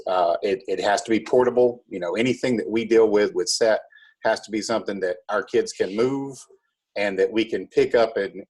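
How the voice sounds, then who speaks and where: A man with a calm voice, giving casual, explanatory interview answers like a teacher. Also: fairly low pitch, rough recording. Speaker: high school math teacher and drama director.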